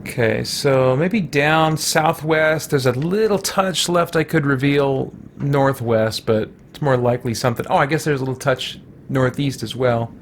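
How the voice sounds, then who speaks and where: A man's voice: mid-range voice, unhurried, few pauses. A man giving casual gameplay commentary, puzzling out his options aloud as he plays, with background noise. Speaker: let's play host.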